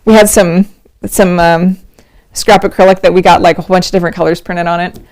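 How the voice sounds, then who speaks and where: A middle-aged woman, a little hoarse, hosting a casual livestream from home, chatty and rambling with frequent laughs. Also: on the low side, steady tone, quick pace, a little mellow. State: slightly hoarse. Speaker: host of a knitting livestream.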